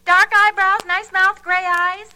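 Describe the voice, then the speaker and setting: Very high, sharp, brassy salon woman. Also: little pitch movement, a little slow, heavily acted. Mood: sardonic. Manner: sassy, sardonic. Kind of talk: 1950s radio detective drama acting.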